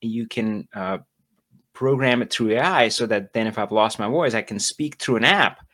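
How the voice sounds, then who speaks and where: A man's voice: on the low side, normal speed, a bit bright. A man hosting a casual interview, enthusiastic and encouraging toward his guest. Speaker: podcast host.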